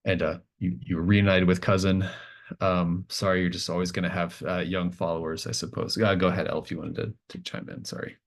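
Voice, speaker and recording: A man working as game master, improvising narration casually, thinking aloud in a halting, encouraging way. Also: low-pitched, little pitch movement.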